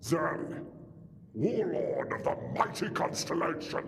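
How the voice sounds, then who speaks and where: A man's voice: fairly high pitch, sing-song, slow, full character voice. A man playing a grave, ruthless alien warlord in an audio drama, solemn and declamatory, embittered by war. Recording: long reverberant hall, faint music.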